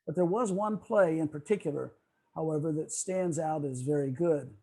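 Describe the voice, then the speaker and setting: A man with a husky, mellow voice, pitched a little high, presents over a video call. He explains in a measured, lecture-like way, stumbling now and then over his prepared text. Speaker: club presenter on old-time radio. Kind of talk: semi-scripted lecture presentation.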